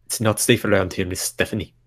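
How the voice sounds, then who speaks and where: A man voicing a pompous, muscular elf-like hero with a French-Icelandic accent and deliberate delivery. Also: low-pitched, fairly even intonation, crowd noise, voice pushed down, lightly acted. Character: posing, muscular elf-eared heroic man.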